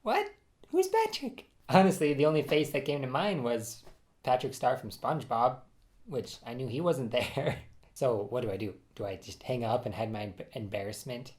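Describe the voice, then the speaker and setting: A man's voice: mid-range voice, animated, moderate pace. A man casually telling a personal story in a chatty, self-deprecating way, often laughing at himself.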